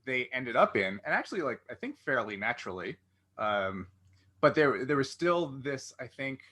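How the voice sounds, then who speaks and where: Male podcast host: bright, forward voice at average pitch, conversational, meandering as he works out a question. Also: sing-song, normal speed. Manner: rambling, thinks aloud in long questions. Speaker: podcast interviewer, game master. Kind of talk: casual conversational interview.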